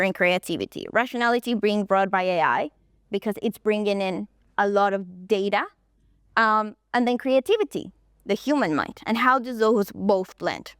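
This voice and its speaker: A woman presents at a conference, setting out her points in order. Her voice is smooth and clear and sits at a middle pitch. The sound is close and dry, with no room echo. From Colombia. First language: Spanish. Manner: explanatory, walking through points in order. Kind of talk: stage presentation.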